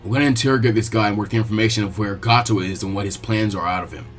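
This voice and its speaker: A man voicing a gruff, wry grown male ninja teacher who smokes, in a fan-fiction story reading. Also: on the low side, very fast, faint music behind, pitched below the performer's own voice, natural voice. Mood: commanding, dry.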